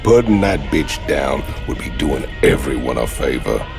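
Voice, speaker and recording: An actor playing a gruff, cynical outlaw mercenary in a video game, gravelly and blunt. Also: male voice, very deep voice, sing-song, normal speed, over music, heavily acted. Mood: flippant. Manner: blunt, flippant. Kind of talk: video game cinematic acting.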